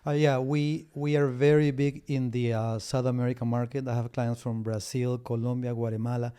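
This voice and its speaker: A man's voice: medium pitch, flat delivery, normal speed, dark tone, clean tone. A middle-aged man from Peru, a business litigation lawyer, talking as an interview guest, whose first language is Spanish, with frequent restarts and fillers. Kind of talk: casual interview talk.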